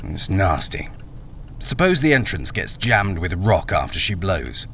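Man playing a deep-voiced, troubled deserter turned miner. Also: big pitch swings, unhurried, a bit bright, slight background noise, heavily acted. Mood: uneasy, doubtful. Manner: hesitant, troubled, grim. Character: wary, cornered army deserter and miner, brooding hero. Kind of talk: radio crime drama acting with first-person narration.

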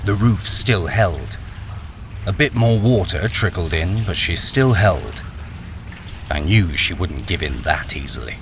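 A man's voice: low voice, lively intonation, measured pace, background noise. A man playing a wary, experienced miner, acting and narrating a radio crime thriller in a guarded, brooding way. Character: wary, experienced male miner, blackmailed, narrating his ordeal.

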